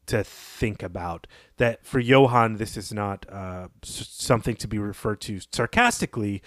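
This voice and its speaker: A man co-hosting a podcast, discussing literature in a measured, analytical and explanatory way. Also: fairly low pitch, no room sound, clean studio sound. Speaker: podcast co-host.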